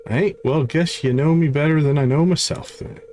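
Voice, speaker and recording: A man's voice: on the high side, a little fast, a little mellow, a little hiss or hum, close to an everyday voice. A man voicing a guarded sailor's son from a video game, talking in folksy, ungrammatical seafaring speech. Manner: folksy, dropped-grammar sailor talk. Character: gruff, guarded sailor son grieving his father. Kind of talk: reading video game dialogue aloud.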